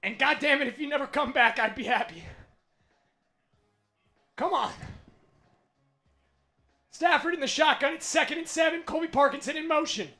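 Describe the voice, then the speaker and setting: Fan play-by-play man, high voice, hoarse from screaming, hushed strain between shouted big plays, streaming from a desk mic. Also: normal speed, long pauses, faint music behind. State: losing his voice from screaming. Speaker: watch party play-by-play host. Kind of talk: excited fan play-by-play on a livestream.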